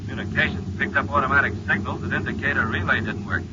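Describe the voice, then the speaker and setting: An actor playing a crisp, dutiful squadron officer in an old-time radio sci-fi serial, reporting like a military radio call. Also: male voice, medium pitch, fairly even intonation, fast talker, natural voice. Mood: grave, urgent. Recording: noisy, muffled old radio broadcast recording.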